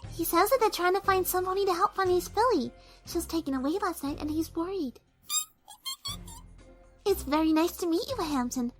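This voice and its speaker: A woman voicing a timid, soft-spoken, kind young female pegasus pony in a cartoon audio drama, hesitant and apologetic. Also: extremely high pitch, animated, fairly quick, pauses often, soft background music. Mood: shy, kind. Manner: hesitant, apologetic, gently stammering.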